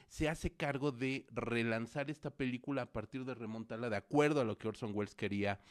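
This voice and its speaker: A man co-hosting a studio show, talking through films at length with many fillers and restarts. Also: mid-range voice. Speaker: co-host film critic. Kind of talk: casual film review chat. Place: studio with producer at console.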